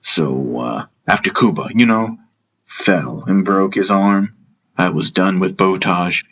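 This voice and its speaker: A man voicing a sardonic, world-weary king who speaks haltingly to his brother in a fantasy audiobook. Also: sits low, fairly bright tone, exaggerated voice. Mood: hesitant, confessional. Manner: sarcastic, halting, hesitant. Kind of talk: fantasy audiobook character acting.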